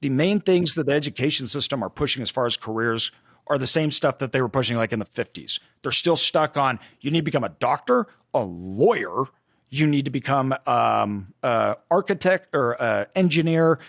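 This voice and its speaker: Male co-host, mid-pitched, forceful opinions, dry mic. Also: expressive, moderate pace, creaky, no echo. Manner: emphatic, critical, rhetorical questions. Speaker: podcast co-host. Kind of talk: opinionated casual discussion.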